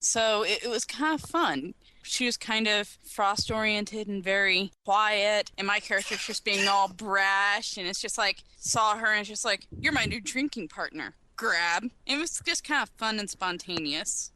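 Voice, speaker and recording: A woman's voice: mid-range voice, a little slow, pushed and bright, no echo, poor audio. A woman telling anecdotes in casual chat as a podcast panelist.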